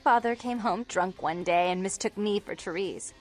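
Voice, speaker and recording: An actress playing a wild, seductive, spiteful vampire sister in a video game, taunting in a theatrical sing-song way. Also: female voice, mid-range voice, normal speed, darkish tone, faint music behind, lightly acted. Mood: bitter, goading. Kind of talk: dramatic video game voice acting.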